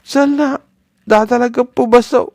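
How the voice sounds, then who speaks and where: A man's voice: very high voice, fairly even intonation, takes it slowly, gravelly, a little mellow, no room sound, studio quality, pitched above the performer's own voice, full character voice. A man voicing a small tearful boy who lisps, his r sounds coming out as l, in a storybook reading. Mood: pleading, tearful. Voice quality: childish lisp, r turned to l.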